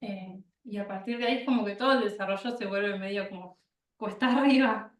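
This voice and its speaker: A woman defending her thesis answers tentatively, thinking aloud as she goes. She sounds set back from the mic in a reverberant room, at a middle pitch. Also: fairly quick, dull audio. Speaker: thesis candidate. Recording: echoey room, distant from the mic.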